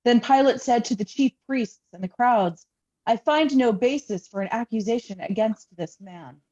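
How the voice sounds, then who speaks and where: Mellow woman reading scripture, muffled recording. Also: fairly high pitch, moderate pace. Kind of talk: dramatic scripture reading for worship. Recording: dull, lo-fi sound.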